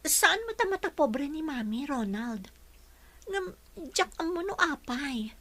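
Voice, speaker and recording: Woman playing earnest young daughter, high bright voice. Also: very animated, unhurried, exaggerated voice. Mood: concerned, earnest. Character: earnest young daughter devoted to her boyfriend. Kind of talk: Ilocano radio drama acting.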